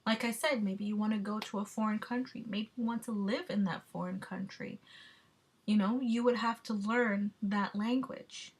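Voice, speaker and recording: A woman giving a measured, explanatory class presentation, with the odd filler. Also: mid-range voice, steady tone, breathy edge. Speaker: student presenter.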